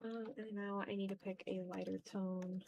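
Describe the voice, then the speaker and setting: A woman's voice: level tone, normal speed, vocal fry, a little mellow, dull audio, a little hiss or hum. Tired woman in casual livestream chat. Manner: rambling, low-key, chatting to viewers. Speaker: streamer hosting a live art stream.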